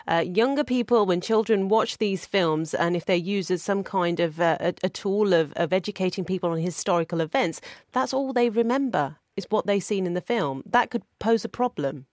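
A woman hosting a radio discussion, introducing guests and moderating in a brisk, polite way. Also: medium pitch, dry recording, studio quality. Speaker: radio show host.

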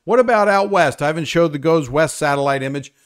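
An older man presenting an unscripted live weather update, explaining as he goes with hesitant pauses to think. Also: on the high side.

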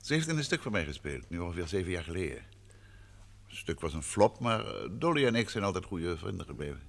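Deep-voiced, composed gentleman detective. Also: very animated, creaky, full character voice. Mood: composed, polite. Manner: soothing, measured, probing. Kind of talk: old Dutch radio drama acting. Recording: noisy old radio recording.

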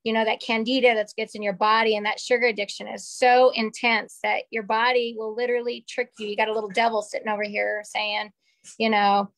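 Slightly raspy woman in her forties. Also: fairly high pitch, level tone, brisk, dry recording. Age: 40s. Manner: candid, chatty, gestures with her hands. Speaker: call participant. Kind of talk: casual personal testimonial. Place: small room at home. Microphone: webcam on a video call.

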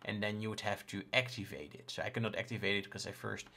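A man in his thirties from the Netherlands, a native Dutch speaker with a Dutch accent, casually presenting a software tutorial. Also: on the low side, fairly even intonation, quick pace, creaky. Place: indoors in a home room, screen recording with webcam.

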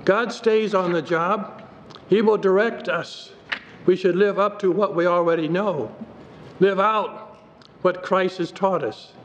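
Older guy preaching from the pulpit, reads scripture slow and steady, big church echo. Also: sits high. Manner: measured, reads scripture aloud from the page. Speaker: pastor. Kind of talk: sermon read from notes at a pulpit. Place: church sanctuary. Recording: dull hall recording with faint noise.

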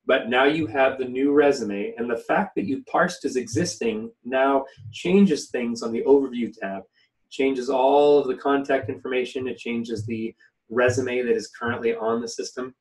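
A man giving an informal, step-by-step software tutorial as an instructor. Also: medium pitch, fairly even intonation, normal speed. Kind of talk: informal step-by-step screen-share walkthrough. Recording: voice somewhat distant from the mic.

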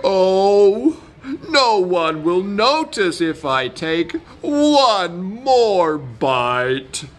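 A woman voicing a greedy, whiny male donkey in a children's story, with slow, drawn-out speech. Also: mid-range voice, big pitch swings. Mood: greedy, savoring.

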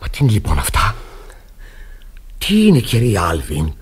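An actor playing a moralistic, easily shocked pastor in radio theatre, with a husky voice and a pompous, flustered manner. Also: male voice, lowish voice, huge pitch swings, a little hiss or hum. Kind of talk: classic Greek radio theatre acting.

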